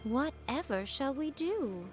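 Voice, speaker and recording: A woman's voice: sits high, very animated, takes it slowly, faint music behind, exaggerated voice. A woman voicing a sly, composed fox shrine priestess in a video game, drawling with mock sympathy and a teasing menace.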